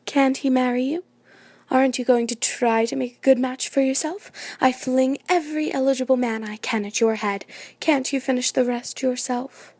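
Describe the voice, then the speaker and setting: A woman playing an excitable, imperious New York society hostess and mother in a radio play, chattering and dramatic. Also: high voice, moderate pace, dry recording. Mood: exasperated, gushing.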